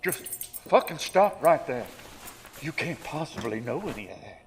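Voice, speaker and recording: An actor playing a gruff, skeptical small-town sheriff in an audio horror drama, blunt and sarcastic. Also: male voice, on the high side, sing-song, moderate pace. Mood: angry, incredulous. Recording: clearly noisy track with clicks.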